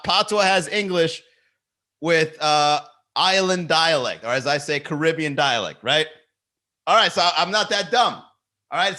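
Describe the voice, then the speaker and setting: Man, comedy podcast host, slightly high voice, animated, rhetorical questions, swearing. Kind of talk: comedic riffing and lyric reading.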